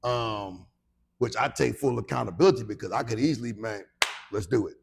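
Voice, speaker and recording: A man's voice: fairly low pitch, a little fast, gravelly, husky. An American man in his late forties talking casually and reflectively as a podcast co-host, often checking in with his listener. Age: late 40s.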